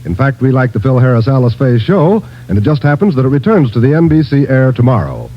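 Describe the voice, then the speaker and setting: Lively 1950s radio announcer, man. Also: mid-range voice, fast. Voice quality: polished, lively announcer delivery. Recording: dull old radio broadcast with music.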